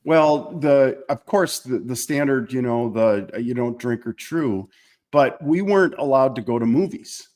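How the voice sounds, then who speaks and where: A man from small-town Minnesota with an American accent, recalling his life as an interview guest in a reflective, halting way. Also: medium pitch, darkish tone. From small-town Minnesota, United States. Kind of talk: conversational personal recollection.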